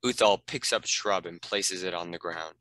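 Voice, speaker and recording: A man narrates stage directions from a script. His voice is fairly low yet bright and crisp, captured close in a dry space. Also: normal speed, no room sound.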